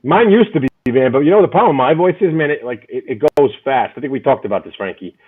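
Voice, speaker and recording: A man hosting a casual fan chat, loud and rambling, often repeating his words. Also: mid-range voice, lively intonation, fast, breathy edge, narrow, tinny audio. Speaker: podcast host.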